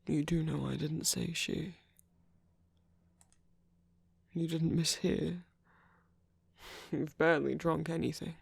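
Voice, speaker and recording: Man playing a slow-spoken, gentle king in love. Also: a little high, creaky, no room sound, exaggerated voice. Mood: nervous, tender. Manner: soft, hesitant, formal and earnest, lapsing into whispers. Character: gentle, nervous king confessing love to a prince. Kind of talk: intimate ASMR audio roleplay.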